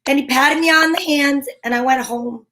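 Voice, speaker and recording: A woman's voice: high-pitched, normal speed, fairly bright tone. A woman playing a plucky, wisecracking female private eye in an old-time radio drama, telling her story dramatically. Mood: wry narrating. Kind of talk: old-time radio detective acting, first-person narration.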